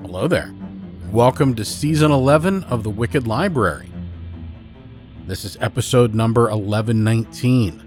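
Man, podcast host, scripted horror-show narration, mid-pitched voice, faint music underneath. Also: moderate pace, a little mellow.

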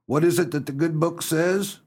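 An actor playing an old, folksy, pious farmer father in a comic radio melodrama, with a gravelly, husky voice. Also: on the high side, normal speed, darkish tone.

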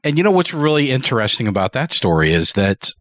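A man's voice: medium pitch, very animated, quick pace, a bit bright, no room sound, studio quality. A man hosting a casual podcast interview, asking questions in a musing, reflective way. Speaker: podcast host interviewing guests.

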